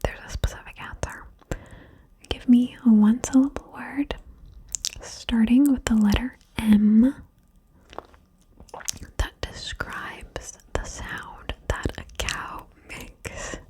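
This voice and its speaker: A woman whispering slowly and softly, coaxing and encouraging the listener through a word-game ASMR session. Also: a little high, steady tone. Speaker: ASMR host guiding the listener.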